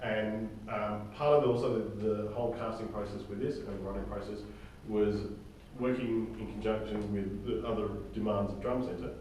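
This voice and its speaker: Low-voiced man in a very echoey room. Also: steady tone, darkish tone. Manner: halting, often tags sentences with "okay?". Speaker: director and writer leading a drama table read. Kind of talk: informal briefing to a group of actors. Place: reverberant indoor room, recorded from far off. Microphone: distant room microphone. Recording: very echoey room, distant mic, faint noise.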